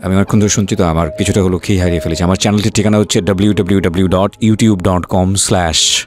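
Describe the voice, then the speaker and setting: A man's voice: deep voice, fairly even intonation, rapid-fire, darkish tone, faint music behind. A man with a Bangladeshi accent hosting a radio interview, talking to his guest and to listeners. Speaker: radio show host.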